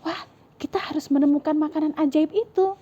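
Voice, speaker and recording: A woman doing an eager, high forest critter. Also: a little fast, warm tone, dull audio, natural voice.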